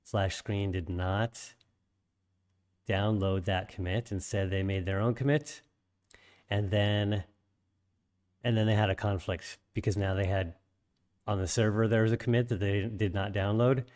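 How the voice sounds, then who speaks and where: A man explaining a coding workflow in a screen-share tutorial, his voice slightly raspy after being sick, with frequent ums and restarts. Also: fairly low pitch, fairly even intonation, measured pace, pauses often, a little mellow. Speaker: programming instructor.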